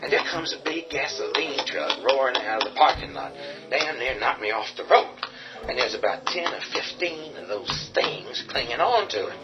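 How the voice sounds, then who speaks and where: Actor as a commanding survivor, lively pitch, lo-fi sound with music behind. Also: male voice, normal speed, lightly acted. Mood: recounting. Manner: urgent, commanding, swings to shouting. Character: tough man barricading a farmhouse against the undead. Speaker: lead character. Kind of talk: radio drama acting.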